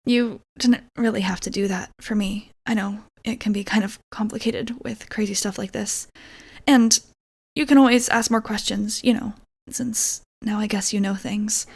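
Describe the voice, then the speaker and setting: A woman playing a shy, apologetic vampire roommate in an ASMR roleplay, speaking hesitantly and gently, often dropping toward a whisper. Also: mid-range voice, steady tone, a little slow, gravelly, slightly rough. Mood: relieved, grateful. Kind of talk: ASMR roleplay, softly acted monologue.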